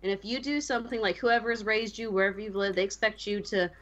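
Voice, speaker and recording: A woman's voice: mid-range voice, fairly even intonation, fast, a bit bright, muffled recording. A woman co-hosting a gaming podcast, chatting casually and with strong opinions, often voicing her own reactions as quotes. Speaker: podcast co-host discussing a video game. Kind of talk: casual chat.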